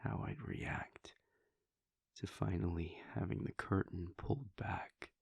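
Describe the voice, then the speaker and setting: A man voicing a weary, brooding male vampire prince in an intimate audio roleplay, speaking in a low husky whisper as a soft confiding monologue. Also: fairly even intonation, takes it slowly, dry recording, exaggerated voice. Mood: reflective.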